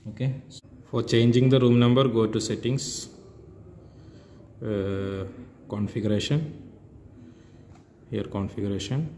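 A man giving an unscripted step-by-step technical tutorial, speaking in halting, repetitive instructional phrases. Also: medium pitch, dark tone. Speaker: tutorial presenter. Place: indoor room, reverberant, faint noise behind. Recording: echoey reverberant room, background noise.

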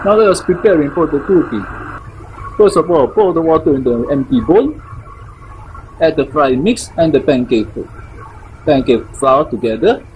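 A man's voice: on the high side, big pitch swings, normal speed, pauses often, highs cut off, slight background noise. A man hosting a casual recipe tutorial, chatty with simple phrasing and playful sing-song repeats. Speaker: cooking show host. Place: small indoor room.

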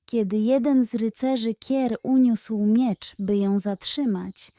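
Measured woman storyteller, slightly high voice. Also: fairly even intonation, warm tone. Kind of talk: scripted children's story narration.

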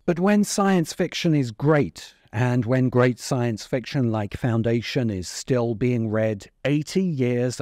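A middle-aged man delivering scripted commentary as a video essayist, with measured, emphatic phrasing. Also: fairly high pitch, warm tone, no room sound, clean studio sound. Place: open-sided covered outdoor space. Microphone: desk microphone close in front. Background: music.

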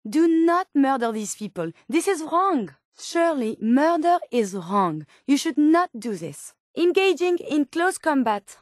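A woman's voice: sits high, sing-song, a little slow, hardly any pauses, dry recording, studio quality. An actress voicing a polite, earnest, curious female medical robot companion in a video game, with formal, precise, quaint phrasing. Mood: alarmed, urgent.

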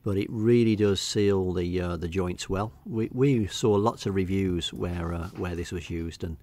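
A middle-aged man with an English accent giving a casual, unscripted voice-over walkthrough, hesitating and pausing often. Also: low-pitched, dark tone. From England. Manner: hesitant, unscripted delivery with many pauses. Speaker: hobbyist video narrator.

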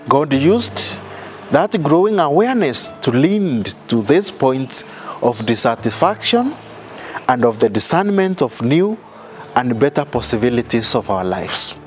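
A middle-aged man, a priest giving a measured sermon outdoors with many fillers and restarts. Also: on the high side, a little mellow. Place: outdoor garden altar.

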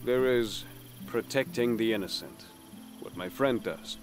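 An actor playing a weary, grim assassin in an audio drama, speaking in a serious, measured, admonishing way. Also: male voice, mid-range voice, music in the background, lightly acted. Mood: grim warning. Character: weary, grim male assassin, bluntly cautionary.

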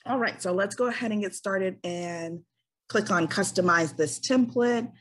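A woman's voice: lowish voice, slightly rough. Chatty woman, tired scratchy voice. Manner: chatty, many ums. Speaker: business coach. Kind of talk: casual screen-share tutorial.

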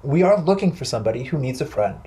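A man's voice: medium pitch, big pitch swings, fast talker, mellow, close to an everyday voice. A man voicing a small, friendly young beaver in a children's story, earnest and eager. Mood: earnest, inviting.

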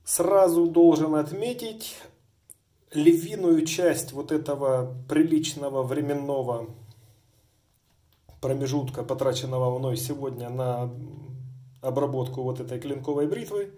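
A man's voice: medium pitch, a little slow, dark tone. A middle-aged man demonstrating a honed razor, talking in a wordy, formal way with many hedges and asides. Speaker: razor sharpener. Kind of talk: hands-on demonstration. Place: indoors at a workbench.

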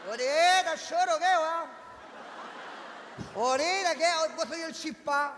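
A man's voice: very high-pitched, slow pace, a bit bright, a little hiss or hum, voice pushed up, exaggerated voice. A Chilean comedian voicing a cocky young male street tough with slurred slang. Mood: defiant. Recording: live stage with crowd and hall echo.

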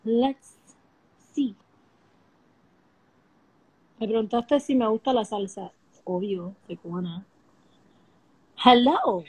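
A woman in her twenties talks casually to viewers from her bedroom at night, her phone held close. Her voice sits slightly high, and she is bubbly and affectionate, often breaking into giggles. It feels loose and unscripted. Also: slow pace, long pauses, slightly rough, rough recording. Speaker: livestream host. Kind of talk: casual livestream chat.

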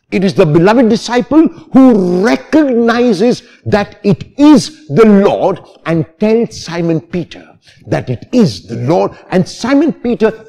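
A man in his sixties, a priest, preaching a homily in a deliberate, emphatic manner with phrases repeated for stress. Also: high voice, warm tone. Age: 60s. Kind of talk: homily, expository sermon. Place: church, at the lectern.

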